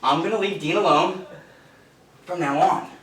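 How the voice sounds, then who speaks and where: Actor as a menacing bully in an echoey theater. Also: male voice, on the high side, a bit bright, lightly acted. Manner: threatening, deliberate, falsely friendly before hard commands. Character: menacing male radio performer bullying a younger colleague. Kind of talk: stage play acting. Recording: echoey stage, distant mic, faint noise.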